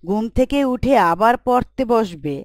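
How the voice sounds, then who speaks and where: A woman's voice: fairly high pitch, expressive, moderate pace, a little mellow, dry recording, clean studio sound, natural voice. A woman playing a caring, practical village housewife and mother in a cartoon story. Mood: caring, brisk. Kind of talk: dubbed moral story cartoon acting.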